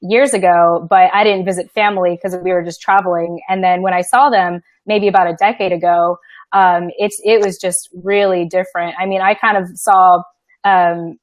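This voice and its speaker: A woman from the Philippines leads a casual interview in a fairly low voice. The sound is dry and close, with no room echo. She chats freely and fills her pauses with plenty of ums and likes. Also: steady tone. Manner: chatty, heavy filler use.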